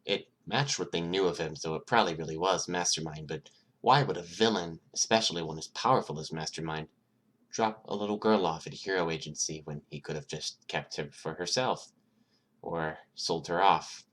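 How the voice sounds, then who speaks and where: A low-pitched narrator reads fiction aloud. The delivery is scripted storytelling, in the manner of a homemade audiobook. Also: normal speed, fairly bright tone.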